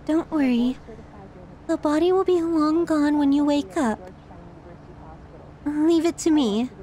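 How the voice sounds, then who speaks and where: A woman plays a lovesick young yandere woman in an ASMR audio drama, clinging and possessive. She speaks slowly and intimately to a silent lover, fragile yet quietly menacing. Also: high voice. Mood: tender, confessional.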